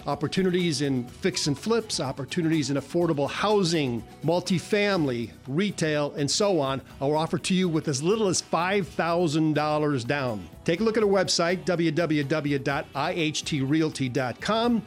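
A man presenting his own company in a scripted radio advertisement, with a deliberate sales delivery. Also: a little high, expressive. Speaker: company chief executive. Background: light guitar music.

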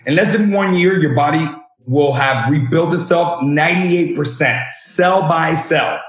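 A man's voice: a little high, unhurried. A man in his thirties from Miami, explaining earnestly as an interview guest. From Miami, Florida; born in Venezuela. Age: 30s. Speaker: guest, age management practitioner. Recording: roomy, echoey sound.

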